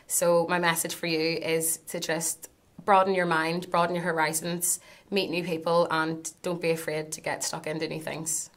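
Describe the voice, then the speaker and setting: Young woman, earnest testimony, low and level delivery. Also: little pitch movement, moderate pace. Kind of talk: personal testimony.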